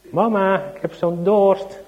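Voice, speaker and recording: A man's voice: high voice, takes it slowly, a little mellow, lo-fi sound, a little hiss or hum, pitched above the performer's own voice. A man voicing a small, sleepy, thirsty boy who keeps pleadingly asking for his mother. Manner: pleading, repeats questions.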